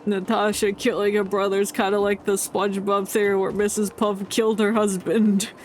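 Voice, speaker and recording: A woman game streamer giving casual, deadpan commentary and teasing the characters as she plays. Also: medium pitch, moderate pace, slight background noise.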